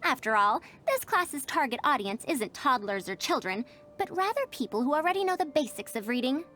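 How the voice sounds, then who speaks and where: A woman's voice: high voice, very animated, fairly quick, pushed and bright, a little hiss or hum, full character voice. A woman voicing a confident, headstrong female scholar in a video game, with formal, old-fashioned phrasing. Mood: pondering. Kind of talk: voiced game character dialogue.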